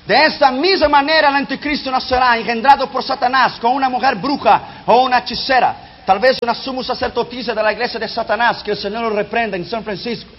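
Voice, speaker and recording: A man preaching an emphatic sermon who learned his Spanish as a second language, dropping low and quiet at the ends of phrases. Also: steady tone, brisk, few pauses. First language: not Spanish. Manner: emphatic, drops pitch and volume sharply at phrase ends. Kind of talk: fiery sermon. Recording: dull lo-fi sound.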